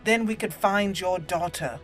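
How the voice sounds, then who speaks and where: A woman voicing a resolute young elf mage in a roleplayed game walkthrough, formal, blunt and measured. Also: lowish voice, natural voice. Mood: blunt resolve. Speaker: gaming host. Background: game music.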